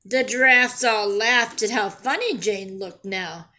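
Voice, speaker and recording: A woman reading a picture book aloud to young children in an expressive, deliberate way, pausing to ask simple questions and explain things. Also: medium pitch. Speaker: children's storyteller and teacher.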